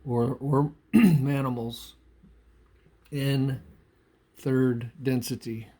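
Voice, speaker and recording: A man speaks very slowly in a mellow, dark voice. He delivers an off-the-cuff spiritual talk, pausing often and circling back over his phrases with deliberate care. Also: medium pitch, steady tone. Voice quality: frequent throat clearing. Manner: halting, deliberate phrasing with pauses and repetition. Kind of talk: unscripted spiritual monologue.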